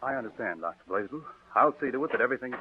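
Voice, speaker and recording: An actor playing a scheming young nephew who talks earnestly and stammers in a 1940s radio drama. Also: male voice, medium pitch, lively intonation, racing, slight background noise, natural voice. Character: scheming young male nephew feigning devotion. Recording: tinny old radio broadcast.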